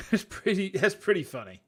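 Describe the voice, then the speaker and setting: A young man with a husky voice and a sore throat, chatting casually and reading game dialogue aloud. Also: high-pitched, big pitch swings, normal speed, background noise. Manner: chatty, easily amused. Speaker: streamer. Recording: game music behind voice.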